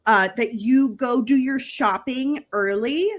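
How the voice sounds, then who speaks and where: A woman co-hosting a craft livestream from home, teasing her co-host with incredulous questions before running through a store deal. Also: slight background noise. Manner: teasing, incredulous questions, then brisk sales pitch. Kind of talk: casual chat and shop promotion.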